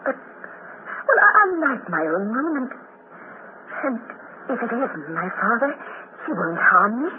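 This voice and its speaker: Highly strung young lady, swooping voice. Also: big pitch swings, takes it slowly, pauses often. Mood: anxious. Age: young adult. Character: frightened, highly strung young woman, stubbornly brave. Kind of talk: 1950s radio drama acting. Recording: muffled, noisy 1950s radio recording.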